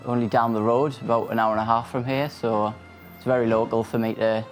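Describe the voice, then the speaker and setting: A man's voice: medium pitch, a little fast, faint music behind. A man, a technical diver giving interview answers full of "um"s and restarts. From Sunderland, England. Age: late 20s. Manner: flat, many "um"s and restarts.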